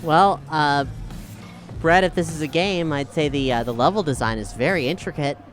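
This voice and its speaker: A man's voice: a little high, normal speed, fairly bright tone. A man playing a tabletop game, improvising casual in-character talk in an informal, hesitant manner. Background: music.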